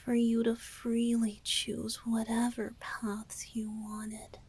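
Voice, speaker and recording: A breathy young male bard played by a woman speaks very slowly in a soft whisper. He is tender and playful, a spirit in love in a romantic ASMR roleplay, and his words come haltingly and sincerely. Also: a little high, completely flat delivery, exaggerated voice. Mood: tender, confessional. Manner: slow, halting, heartfelt.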